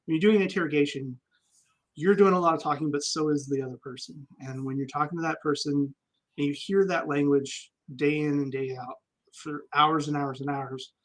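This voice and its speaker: A man's voice: a little high, fairly even intonation, fairly quick, rough recording. A man, an army veteran guest, telling stories candidly and reflectively in a casual interview, with frequent ums.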